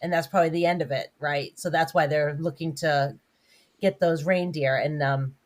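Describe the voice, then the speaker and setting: A woman on a movie-review podcast with a lowish voice for a woman. She walks through scenes thoughtfully and leans on "like" and "you know." The delivery is relaxed and conversational. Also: moderate pace. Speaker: podcast co-host. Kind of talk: casual movie-review chat.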